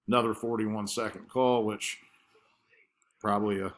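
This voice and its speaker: A man with a somewhat low-pitched voice presents marketing work in an informal screen-share style. He explains things conversationally, feeling his way a little hesitantly from point to point. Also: fairly even intonation, moderate pace.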